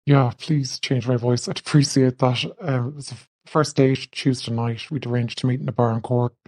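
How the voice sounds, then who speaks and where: A woman's voice: fairly even intonation, moderate pace, darkish tone, dry recording. An Irish woman telling a casual anecdote in a voice note, her voice altered by a voice changer. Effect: voice changer lowering the voice. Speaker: anonymous listener sending a voice note.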